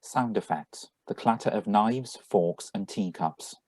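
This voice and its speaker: A man reading a radio drama over a video call, both as a brisk grown son and as the announcer of sound effects. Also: fairly low pitch, dark tone, no echo. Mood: flat announcing. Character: brisk, mildly exasperated grown son at breakfast.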